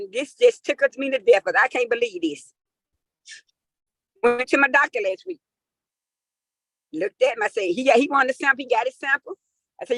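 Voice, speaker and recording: A woman, telling an animated testimonial full of acted-out dialogue. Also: high voice, dry recording. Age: 50s. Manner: lively, acts out quoted dialogue, emphatic. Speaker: caller sharing a testimonial.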